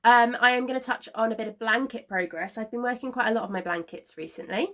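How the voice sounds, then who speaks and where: A woman in her thirties hosting a knitting podcast, chatting casually to camera from her sofa at home, slightly congested from a cold and filling pauses with frequent ums. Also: fairly high pitch, a bit bright. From Scotland. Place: living room at home, seated on a sofa.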